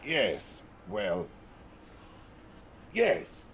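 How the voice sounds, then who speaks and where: A man's voice: fairly low pitch, huge pitch swings, very slow, a bit bright, slight background noise, heavily acted. An actor playing a jovial, blustering male Soviet premier in a game cutscene, with a hearty, theatrical delivery. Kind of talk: full-motion video game cutscene.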